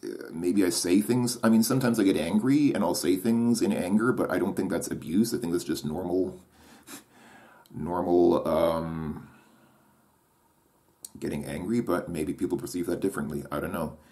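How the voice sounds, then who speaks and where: Deep-voiced man musing hesitantly. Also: moderate pace, warm tone. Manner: hesitant, restarts and repeats himself, self-questioning. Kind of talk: reflective solo monologue.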